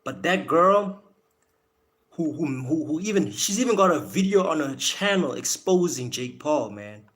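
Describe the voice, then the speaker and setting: Male streamer, somewhat high voice, South African accent with American influence, casual slangy chat, repeats himself. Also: expressive, a little slow, a bit bright. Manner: slangy, repeats words and phrases. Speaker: live stream host. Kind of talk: casual boxing talk, chatting to viewers.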